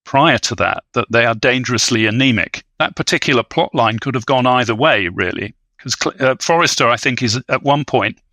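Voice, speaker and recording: Bright, articulate scholarly man, dry sound. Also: mid-range voice, fairly quick, few pauses, dry recording. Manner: articulate, scholarly, bookish vocabulary. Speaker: podcast panelist. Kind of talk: analytical film discussion.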